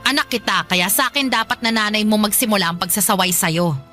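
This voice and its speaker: A woman's voice: medium pitch, a little fast, faint music behind, natural voice. A woman playing a defensive, argumentative middle-aged mother who scolds and dismisses, in a Filipino radio drama. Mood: dismissive scolding. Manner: argumentative, sharp questioning retorts.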